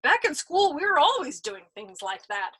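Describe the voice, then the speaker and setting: A woman voicing a smug, pudgy young male airman who boasts loudly. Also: sits high, very animated, normal speed, voice pushed up. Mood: nostalgic.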